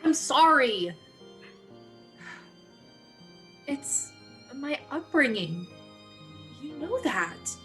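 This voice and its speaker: A woman acting an anxious, defensive young sorority member in a dramatic reading, in an agitated, halting way. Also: high voice, very animated, fairly bright tone, faint music behind, exaggerated voice. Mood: apologetic. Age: young adult.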